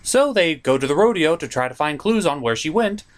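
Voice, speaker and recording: Animated, sarcastic male cartoon reviewer. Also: fairly high pitch, a little fast. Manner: animated, sarcastic, swears freely. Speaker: host. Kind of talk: comedic cartoon episode review.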